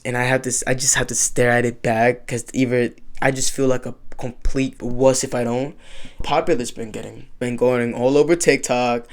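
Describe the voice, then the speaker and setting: Casual, halting man talking off the cuff. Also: medium pitch, darkish tone. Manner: halting, informal, profane. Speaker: YouTuber. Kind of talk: casual unscripted commentary.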